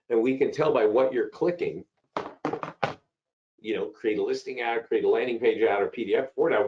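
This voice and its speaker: A man with a hoarse voice from a cold, hosting a live software webinar and chatting through questions from viewers. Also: medium pitch. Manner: chatty, repeats himself, answers chat questions. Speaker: webinar host. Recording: dull lo-fi call audio.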